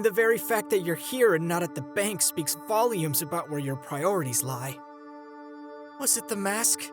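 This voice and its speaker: A woman's voice: fairly low pitch, lightly acted. A woman voicing a bitter, scornful teenage boy villain in a fan comic dub, with biting, sarcastic intensity. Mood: cold, scornful.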